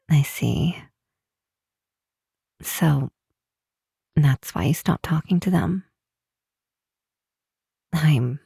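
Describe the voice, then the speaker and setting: A woman playing a caring, teasing young best friend in an ASMR roleplay, speaking gently and hesitantly with soft pauses. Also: low-pitched, vocal fry, breathy edge, dry recording, clean studio sound, exaggerated voice. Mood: soft, sympathetic.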